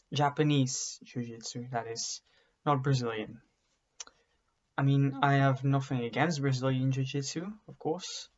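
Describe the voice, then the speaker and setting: Young man, wry webcam vlog. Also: medium pitch. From Belgium. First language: Dutch. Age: young adult. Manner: dry, self-deprecating humor. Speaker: vlogger. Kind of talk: casual self-introduction to camera. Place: bedroom study with bookshelves, indoors. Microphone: webcam at desk. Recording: dull lo-fi webcam audio.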